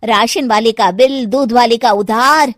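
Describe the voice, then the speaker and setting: A woman playing a worried, timid housewife in a cartoon story, plaintive and husky. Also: on the high side, unhurried, no echo, studio quality, natural voice. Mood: complaining. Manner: plaintive, complaining. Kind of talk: cartoon moral-story acting.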